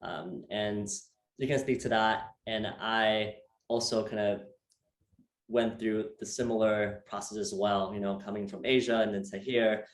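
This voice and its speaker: A man's voice: fairly low pitch, level tone, unhurried. A young man from Taiwan hosting a casual interview, upbeat and full of fillers and repeated words. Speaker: host. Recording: echoey room.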